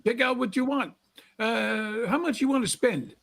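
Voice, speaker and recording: A man's voice: very high-pitched, normal speed, creaky, a bit bright, full character voice. A man playing a pushy second-hand shopkeeper, haggling and wheedling in a vintage-style radio drama. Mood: salesman-like. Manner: haggling, salesman-like.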